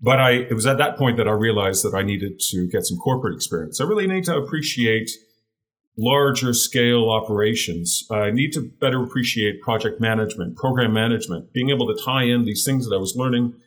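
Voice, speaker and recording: A man in his forties with a deep voice recounts his path into business as an interview guest. He speaks earnestly and at an ordinary pitch, stumbling over repeated words as he gathers his thoughts. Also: a little fast. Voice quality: deeper voice. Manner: earnest, repeats words while searching for phrasing. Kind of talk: reflective storytelling in an interview.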